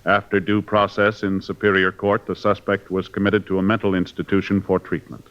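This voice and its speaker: A man's voice: deep voice, monotone, fairly quick, clean tone. A man with an American accent reading formal scripted narration as a 1950s radio announcer. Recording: muffled old radio broadcast.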